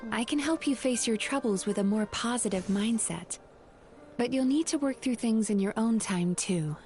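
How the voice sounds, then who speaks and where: A woman playing a gentle, composed young therapist in a video game, speaking in a measured, soothing manner. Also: fairly high pitch, soft background music, lightly acted. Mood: calm, soothing.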